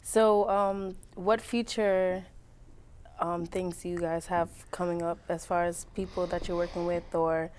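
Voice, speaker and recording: Woman host, slightly low voice, encouraging interviewer. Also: flat delivery, unhurried, a little mellow. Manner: encouraging, lots of short acknowledgments. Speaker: show host. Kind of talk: interviewing guests.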